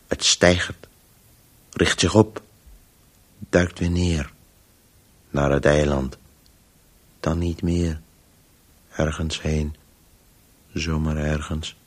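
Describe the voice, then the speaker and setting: An actor playing a weary, urgent disembodied voice in a radio play, speaking in breathless, halting fragments with a hushed, husky tone. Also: male voice, a bass voice, exaggerated voice. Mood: urgent, murmuring. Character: disembodied male Voice, obsessively chasing a story, weary and urgent.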